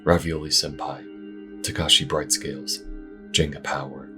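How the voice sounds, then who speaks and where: A man's voice: extremely low pitch, flat delivery, normal speed, bright, forceful tone, faint music behind. A man who makes audio dramas, reading out scripted credits and thanks to supporters. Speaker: creator and voice actor of the audio drama.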